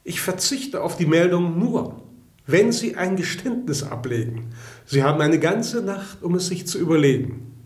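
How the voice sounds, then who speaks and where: An actor playing a cold, patient police official who presses a prisoner in a measured, menacing way in a radio play. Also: male voice, high-pitched, animated, darkish tone. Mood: cold, pressing. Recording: echoey, reverberant room.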